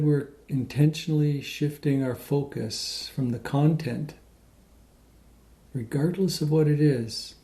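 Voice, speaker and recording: A man leading a guided meditation in a gentle, unhurried way with many pauses. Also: mid-range voice. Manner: slow, pausing, gentle delivery.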